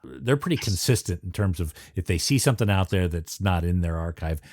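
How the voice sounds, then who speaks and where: A man with a fairly low voice and animated intonation, recorded close and dry. He talks casually as a podcast host interviewing a guest. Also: a little fast, no room sound.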